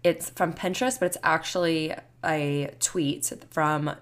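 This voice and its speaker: A woman's voice: sits low, steady tone, measured pace. A woman in her mid twenties hosting a solo podcast in a chatty, rambling, casual way. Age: 20s. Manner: chatty, rambling, youthful filler-heavy talk. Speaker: podcast host.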